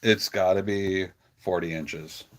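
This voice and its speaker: Low-voiced man chatting casually on a livestream. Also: steady tone, slow, slight background noise. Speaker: pet channel streamer. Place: on a farm.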